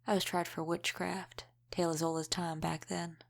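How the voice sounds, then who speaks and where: Centuries-old female vampire mentor, played by a woman: low register, guarded, measured, dryly matter-of-fact. Audio roleplay. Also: level tone, vocal fry. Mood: reminiscing, matter-of-fact. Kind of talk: scripted audio roleplay, addressed to the listener.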